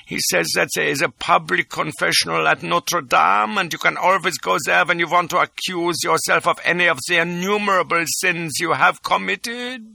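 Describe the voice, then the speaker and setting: A man's voice: high voice, moderate pace, vocal fry, hardly any pauses, pushed and bright, dry recording, pitched above the performer's own voice, exaggerated voice. A man voicing a panicky little courtier. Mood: pleading. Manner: panicky, whining, near tears. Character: timid little male courtier, pleading.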